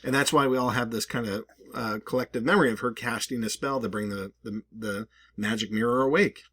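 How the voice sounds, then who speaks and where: A man's voice: medium pitch, a little fast, bright, forceful tone, slightly rough, no room sound, studio quality. A man hosting a video with casual, rambling and emphatic conversational commentary. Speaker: video host.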